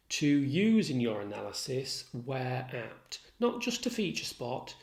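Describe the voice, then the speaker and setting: A man lecturing as an English literature tutor, explaining in a deliberate, formal way with precise wording and occasional asides. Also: medium pitch. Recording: echoey, roomy sound.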